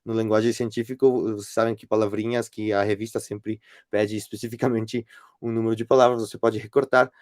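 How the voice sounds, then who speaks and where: Man, Spanish accent, non-native speaker, somewhat low voice, restarts often, dead dry recording. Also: level tone, brisk, darkish tone, dry recording. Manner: hesitant, many restarts and fillers. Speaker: guest lecturer. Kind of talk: online workshop presentation.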